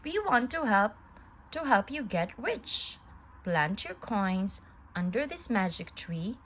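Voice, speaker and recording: A sneaky animal trickster from a storybook, played by a woman reader. The coaxing delivery is slow and swoops around in pitch. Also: big pitch swings, fairly bright tone, full character voice. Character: sneaky, coaxing con-artist cat and fox.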